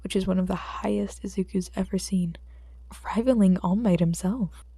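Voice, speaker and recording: Casting: female audiobook narrator for fiction, mellow tone with vocal fry, dry close sound. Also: medium pitch, moderate pace, no echo.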